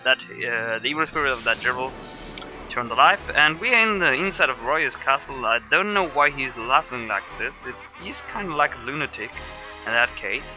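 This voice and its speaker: A man's voice: medium pitch, lively intonation, normal speed, music in the background, rough recording. A man from Germany with a German accent, giving rambling, hesitant gameplay commentary with lots of fillers. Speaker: let's player.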